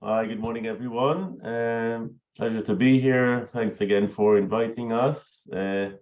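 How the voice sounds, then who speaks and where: A croaky man with a cold introducing himself on a panel, courteous and halting. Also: medium pitch, steady tone, highs cut off. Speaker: sales director panelist.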